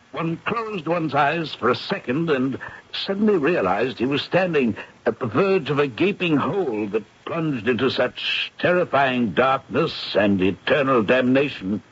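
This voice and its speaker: An actor in an old radio drama playing a grave, protective husband with a measured, formal manner. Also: male voice, mid-range voice, breathy edge. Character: grave, protective husband and country-house uncle. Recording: muffled old radio recording.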